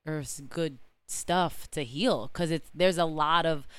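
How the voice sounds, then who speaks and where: A woman's voice: low voice, slow pace, a bit bright, clear, resonant tone, dry recording. A young woman, an herbalist in training, chatting easily as a podcast guest, with lots of likes and ums. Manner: easygoing, chatty, many fillers. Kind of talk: casual interview.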